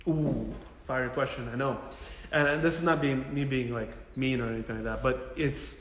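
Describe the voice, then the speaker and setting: A man giving casual, informal health advice as a physical therapist answering questions, in a blunt, jokey, animated way with emphatic repetition. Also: medium pitch, normal speed, slight background noise. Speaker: physical therapist hosting a live Q&A. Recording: echoey, reverberant room.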